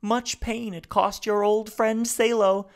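A man voicing a grief-stricken little old male alien machine who speaks haltingly, as if lamenting. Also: high-pitched, flat delivery, voice pushed up. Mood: mournful.

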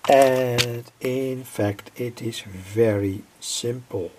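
A middle-aged man with a gravelly, husky voice giving an informal, halting technical explanation as a hobbyist presenter. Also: medium pitch, background noise. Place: indoor home electronics workbench.

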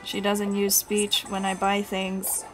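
A woman's voice: medium pitch, monotone, slow pace, music in the background, poor audio. A woman game streamer giving casual, playful commentary, exclaiming at the game and reading bits of its dialogue aloud.

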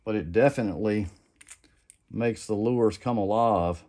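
A man's voice: on the low side, measured pace. A middle-aged man giving a casual how-to demonstration at his home workbench, rambling and often repeating himself. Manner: rambling, repeats "like I said", relaxed and plain-spoken. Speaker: custom lure maker.

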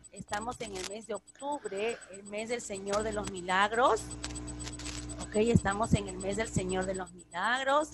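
Slow, patient teacher on a call. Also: female voice, fairly high pitch, rough recording. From Peru. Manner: patient, repeats phrases, drawn-out English words. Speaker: English teacher. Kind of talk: online class teaching. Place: home room with altar behind.

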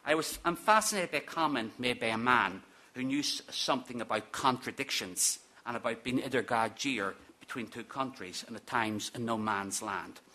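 A man's voice: mid-range voice, normal speed, bright, forceful tone. A middle-aged man from Ireland reading a prepared lecture at a podium in a conference hall, with dry, wry asides. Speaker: academic speaker. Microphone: podium microphone.

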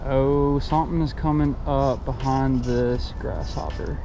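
A man's voice: medium pitch, unhurried, noisy background. A man, chatting casually in a fishing vlog while he casts by an outdoor creek. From Texas. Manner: easygoing, excited, talks while casting. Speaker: fishing vlogger. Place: outdoors by a creek under an underpass. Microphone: camera mic, held close or filmed from a few steps away.

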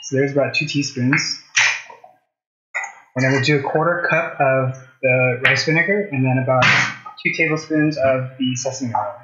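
A man's voice: medium pitch, steady tone, normal speed, background noise. A man in his thirties hosting a casual cooking demonstration, talking conversationally to viewers as he works. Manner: conversational, asks viewers questions while working. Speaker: cooking show host. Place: home kitchen, indoors. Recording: slightly dull, roomy kitchen sound.

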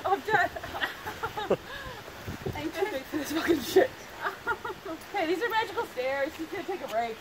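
A woman's voice: very high-pitched, expressive, normal speed, long pauses. A young woman at an outdoor playground, joking and swearing while she climbs the equipment, recorded on a phone. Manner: swearing, self-mocking, laughing between lines. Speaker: playground challenge participant. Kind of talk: casual banter while climbing. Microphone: phone camera held by someone else. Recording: noisy outdoor phone audio.

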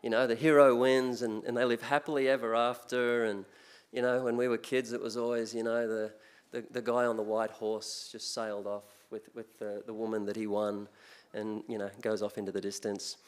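An older Australian man preaching at a church pulpit into a mic. His delivery is relaxed and conversational, full of small restarts and fillers. His pitch sits in the middle range. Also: normal speed, clear, resonant tone. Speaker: guest preacher. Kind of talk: sermon. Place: church sanctuary, speaking at a pulpit.

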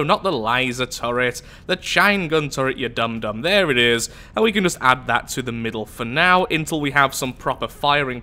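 A man's voice: a little high, pushed and bright. A young man with a flu-stuffed, nasal voice, chatting casually and poking fun at himself while commentating a space game. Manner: chatty, self-mocking, thinking aloud. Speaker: gameplay commentator. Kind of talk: let's play commentary.

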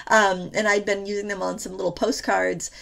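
A woman's voice: mid-range voice, level tone, normal speed, gravelly, a bit bright. A woman hosting a painting tutorial, chatting casually with lots of fillers, a little stuffy from a cold. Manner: chatty, rambling, many fillers. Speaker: art tutorial host.

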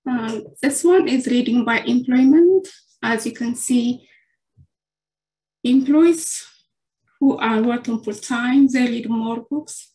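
Woman from Ethiopia, fairly high pitch, careful scripted presenter, roomy echo. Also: takes it slowly, pauses often, mellow. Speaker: bootcamp student presenting a capstone. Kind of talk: reading a prepared presentation. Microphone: video call.

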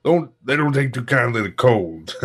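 A man's voice: medium pitch, lively intonation, unhurried. An actor playing a genial man in his seventies in an audio drama, chatting in a folksy, rambling way. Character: portly, genial grandfatherly traveler in his seventies. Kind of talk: audio drama dialogue as a casual interview.

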